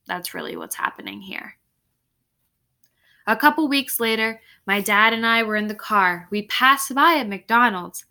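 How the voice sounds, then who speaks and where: A woman's voice: mid-range voice, pushed and bright. A woman teacher reading a novel aloud to students, with casual explanatory asides and frequent check-ins. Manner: explanatory, often checking in with okay and right.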